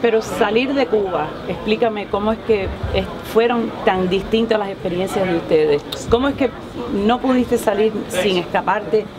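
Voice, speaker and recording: A woman co-hosting an interview and translating between Spanish and English, quick and friendly. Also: medium pitch, a crowd in the background, rough recording. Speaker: bilingual co-host and interpreter. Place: behind-the-scenes area at a fan convention, indoors.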